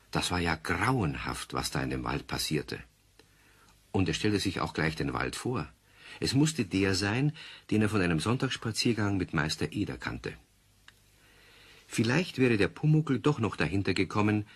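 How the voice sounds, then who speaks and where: A man narrating a German children's radio play as a confiding storyteller, with a husky voice and scripted delivery. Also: sits low, a bit bright, heavily acted.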